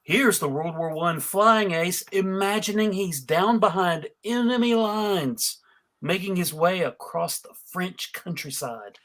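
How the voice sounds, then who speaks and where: Theatrical cartoon player at a table read, bright voice, measured pace, emphatic delivery. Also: medium pitch, natural voice. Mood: mock-heroic.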